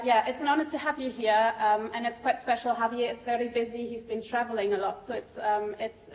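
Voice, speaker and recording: Woman host, fairly high voice, halting and filler-heavy, far off in a reverberant lecture hall. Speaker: lecture host introducing a speaker. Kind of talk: formal introduction with many fillers. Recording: echoey hall, mic from far off.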